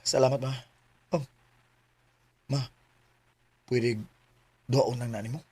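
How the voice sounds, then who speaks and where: An actor playing an eager, devoted young man in a Filipino radio drama, earnest and a little hesitant, with a slightly husky voice. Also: mid-range voice, steady tone, slow, mellow. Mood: casual, curious. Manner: earnest, hesitant, then direct. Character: eager young male suitor, devoted son to his mother.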